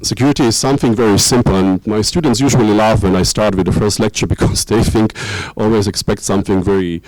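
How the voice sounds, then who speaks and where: A young man from Czechoslovakia, a lecturer in international security, giving a conference talk with many pauses and restarts. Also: fairly low pitch, a little mellow, no echo, rough recording. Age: young adult. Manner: hesitant, many ums and restarts.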